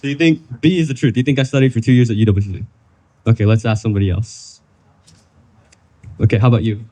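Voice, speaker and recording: A man's voice: medium pitch, fairly even intonation, quick pace, dark tone. A young man from Vietnam presenting at a workshop, chatty, coaxing the audience to guess. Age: young adult. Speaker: workshop speaker.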